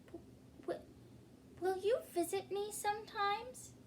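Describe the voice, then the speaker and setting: A woman's voice: very high-pitched, slow pace, slight background noise, voice pushed up. A woman voicing a timid, tearful male dragon in a children's storybook, with a sighing, pleading delivery.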